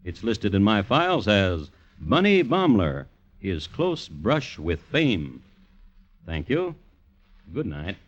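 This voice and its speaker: An American actor playing a droll, deadpan crime storyteller with deliberate, formal scripted 1950s radio narration. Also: male voice, fairly low pitch, heavily acted. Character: droll, macabre male crime storyteller, dryly formal and unhurried. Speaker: narrator and host. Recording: muffled vintage radio recording.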